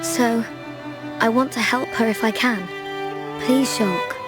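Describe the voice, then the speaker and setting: An actress playing a gentle, selfless young fantasy heroine in a video game cutscene, sincere and soft-spoken. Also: female voice, a little high, slow, music in the background.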